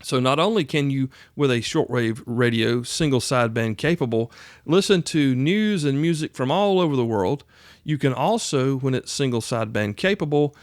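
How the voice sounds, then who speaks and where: A man's voice: mid-range voice, lively intonation, moderate pace, dry recording, clean studio sound. A man hosting an instructional podcast in a plain-spoken, folksy, emphatic way, often checking in with the listener. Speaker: podcast host, radio instructor.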